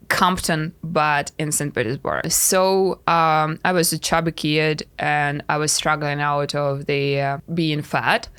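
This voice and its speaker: A woman in her thirties from Russia with a Russian accent, talking frankly and haltingly about her life on a podcast. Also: low-pitched, a bit bright, clean tone, no echo. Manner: frank, halting, many fillers. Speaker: podcast guest, life coach. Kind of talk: casual podcast interview.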